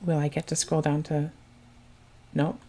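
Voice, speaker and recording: A woman chatting casually as a commentator on a card game stream, soft-spoken and quick to laugh. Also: low-pitched, little pitch movement.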